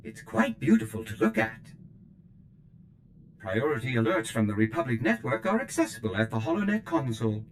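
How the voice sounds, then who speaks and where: A man's voice: medium pitch, slight background noise. An actor voicing a fussy, eager-to-please male steward droid who speaks formally and chirpily in a video game.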